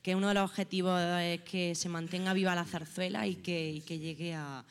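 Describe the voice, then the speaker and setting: A woman's voice: lowish voice, fairly even intonation, brisk, smooth. A woman, an actress in the cast, describing her role with lots of fillers and giggles. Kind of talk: press presentation remarks. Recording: long hall reverb.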